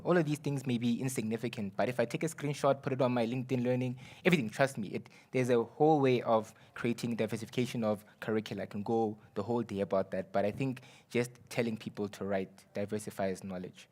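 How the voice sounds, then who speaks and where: A man's voice: mid-range voice, darkish tone. A young man, a student representative from South Africa, speaking confidently and persuasively on a panel. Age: young adult. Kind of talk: panel discussion.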